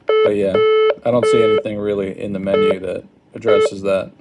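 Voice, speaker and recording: A man giving an informal hands-on product tutorial in a hesitant, halting, apologetic manner. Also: fairly low pitch, wildly swooping pitch, loud music behind the voice. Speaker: product demonstrator. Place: indoors at a wooden table.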